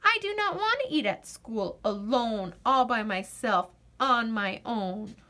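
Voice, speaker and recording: A stubborn little girl from a children's storybook, voiced by a woman, fussy and emphatic in her objections. Her voice sits fairly high and she takes her time over the words. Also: animated, measured pace, sharp tone, a little hiss or hum. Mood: reluctant. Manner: emphatic, matter-of-fact objections. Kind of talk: children's storybook read-aloud.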